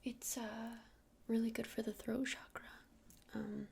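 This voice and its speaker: A woman whispering softly and breathily in an ASMR roleplay as an easygoing witch shopkeeper, casual and a little hesitant. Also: fairly high pitch, flat delivery, unhurried, gravelly, slight background noise. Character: friendly, easygoing witch running a crystal shop.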